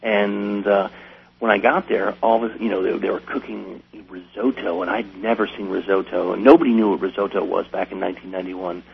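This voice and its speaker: Gravelly low-voiced American man. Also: fairly even intonation, brisk. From Wisconsin, United States. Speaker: chef, interview guest. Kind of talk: casual interview storytelling. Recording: dull lo-fi radio sound, light hum.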